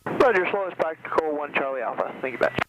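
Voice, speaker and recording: A man's voice: fairly high pitch, animated, quick pace. A man, a pilot, giving brief readbacks over an aviation radio. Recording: aviation radio with cockpit noise.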